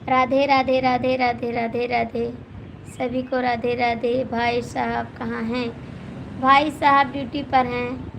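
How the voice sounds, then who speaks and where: A high-pitched woman with an Awadhi accent and a hoarse voice greets her viewers one after another. She speaks slowly and stays on an even, level pitch as she teases them. Clear background noise and passing vehicles are heard behind her phone mic. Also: low-quality recording. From Gonda district, Uttar Pradesh, India. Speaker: folk singer hosting a live stream. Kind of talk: casual live-stream chat, reading out comments.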